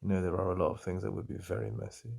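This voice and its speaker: An English man speaking softly and intimately in a boyfriend ASMR roleplay, halting and heartfelt. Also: low voice, flat delivery, vocal fry.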